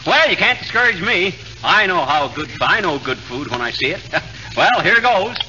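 An actor playing a folksy, boastful, blustering American husband in a 1940s radio comedy. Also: male voice, high-pitched, big pitch swings, moderate pace. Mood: eager. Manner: folksy slangy grammar, blustering. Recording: noisy, muffled 1940s radio recording.

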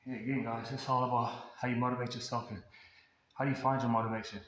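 A man's voice: medium pitch, quick pace, heavy reverb, in a large hall, slight background noise. A man in his thirties casually vlogging his workout, muttering to himself between sets in a large empty indoor gym, recorded by a camera far across the room. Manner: relaxed, muttering to himself between sets, often quiet and half-whispered. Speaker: fitness vlogger.

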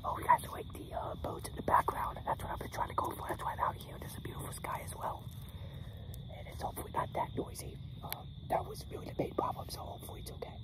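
A man's voice: quick pace, far from the mic, low-quality recording. A teenage boy whispering a casual ASMR drawing roleplay outdoors, a little stuffy from allergies, filmed on a phone set on the ground. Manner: whispering throughout. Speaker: ASMR creator. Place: outdoors, sitting on concrete. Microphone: phone camera on the ground, low angle. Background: planes and outdoor noise.

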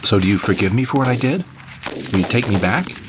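A man reading as a hopeful, lovestruck rebel guy in a sci-fi audio drama. His voice is low and animated, with clear noise behind it. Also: fast, close to an everyday voice. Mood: tender.

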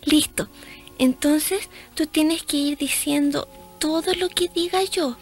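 A woman playing a gentle, devout little girl in a radio drama, speaking in a hesitant, childlike way. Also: high-pitched, slow pace, faint music behind. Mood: earnest, childlike. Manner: hesitant, childlike, repeats word starts.

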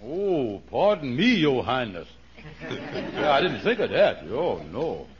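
An actor playing a sly, meddling, sarcastic schemer with a mock-polite, needling manner in a vintage radio comedy. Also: male voice, medium pitch, sing-song, slow. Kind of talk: 1940s radio comedy acting. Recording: dull vintage radio recording.

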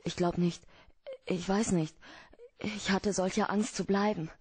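An actress playing an anxious lady in distress in a noir radio drama, with a husky, breathy voice. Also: mid-range voice, fairly even intonation, takes it slowly, a little mellow. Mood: frightened. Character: anxious female client, seductive lady in distress.

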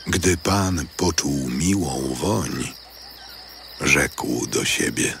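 Male scripture narrator: bottomless bass register, slow, solemn delivery, audible ambient effects behind. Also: lively intonation, gravelly, fairly bright tone, slight background noise. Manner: solemn, measured.

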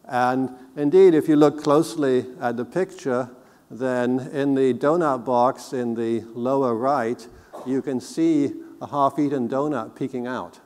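A middle-aged man giving a conference keynote on a technical subject, explaining in a measured, deliberate way. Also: mid-range voice, darkish tone. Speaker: keynote speaker. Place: large indoor conference hall, on stage. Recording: reverberant hall sound.

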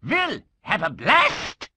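A performer voicing a burly, mocking pirate bully in a video game, raspy and drawling, with menacing taunts. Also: mid-range voice, pushed and bright, no room sound, heavily acted. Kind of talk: animated video game villain acting.

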